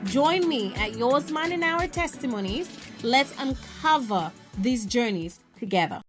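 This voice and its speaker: A woman's voice: a little high, animated, a little slow. A woman delivering an upbeat scripted introduction to a podcast. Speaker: podcast host. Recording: voice over loud music.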